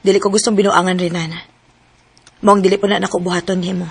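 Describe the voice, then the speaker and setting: A woman's voice: on the low side, little pitch movement, quick pace, gravelly, slightly rough, exaggerated voice. An actress playing a guarded, plain-spoken young tomboy woman in a radio drama, with a casual, unaffected manner. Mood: tentative, sincere.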